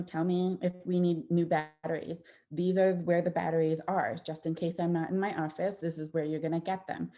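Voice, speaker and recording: A woman in her thirties gives an informal presentation as an audiologist, talking parents through her slides. Her voice is low and mellow, and she speaks conversationally, with plenty of fillers and self-corrections. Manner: conversational, warm explanations with frequent fillers and restarts.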